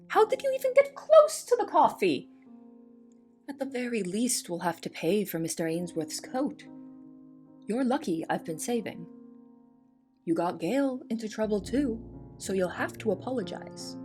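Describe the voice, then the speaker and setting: A woman playing a wry young cook who narrates her kitchen life in an expressive, storytelling way for an audio drama. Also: on the high side. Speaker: narrator and lead character. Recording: music behind the voice.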